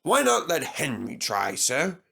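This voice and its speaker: A man's voice: fairly high pitch, huge pitch swings, measured pace, creaky, pushed and bright, slightly rough, full character voice. A man voicing a pompous, easily affronted steam engine in a storybook reading, sighing and grumbling with indignation. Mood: dismissive.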